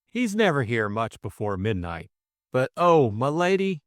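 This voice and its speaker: A man's voice: mid-range voice, big pitch swings, unhurried, no echo, clean studio sound. A man voicing an anxious working-class servant in an audiobook, plaintive and deferential, with ungrammatical speech. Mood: wailing, dismayed.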